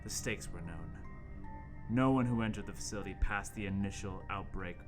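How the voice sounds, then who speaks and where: A man's voice: on the low side, moderate pace. A man narrating a horror radio play as its host, in a theatrical, mock-ominous dramatic style. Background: music.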